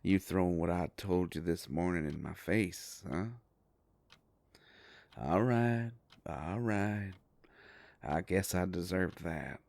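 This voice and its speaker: A man playing a gruff, protective werewolf private eye in an audio roleplay, with a deep gravelly voice and a folksy, halting, gently teasing way of talking. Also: dry recording, full character voice. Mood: amused, impressed. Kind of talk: scripted roleplay audio drama, intimate.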